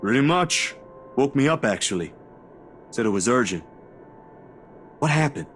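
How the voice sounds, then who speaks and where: A man's voice: medium pitch, sing-song, a little slow, fairly bright tone, a little hiss or hum. An actor in a story game playing a simple, caring man who talks in a plain, polite, reassuring way. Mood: puzzled, concerned. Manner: plain-spoken, reassuring, informal. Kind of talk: dramatic game dialogue.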